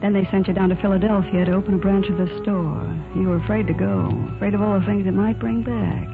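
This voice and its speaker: A woman's voice: mid-range voice, fairly even intonation, fast, creaky, phone-quality sound, lightly acted. An actress playing a wistful, self-possessed young shopgirl torn in love, in 1940s radio drama style. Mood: reflective. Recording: vintage 1940s radio recording, music behind.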